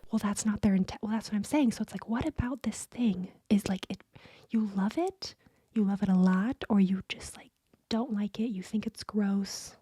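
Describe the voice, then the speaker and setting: A woman co-hosting a podcast in a soft, breathy ASMR-style whisper, chatting close to the microphone. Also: mid-range voice, normal speed, no room sound. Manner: whispering and speaking softly. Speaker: podcast co-host.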